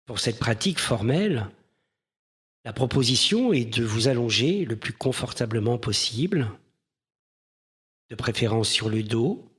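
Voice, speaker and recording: A man leading a guided mindfulness meditation in a gentle, measured manner with pauses between phrases. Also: medium pitch, animated, slightly rough.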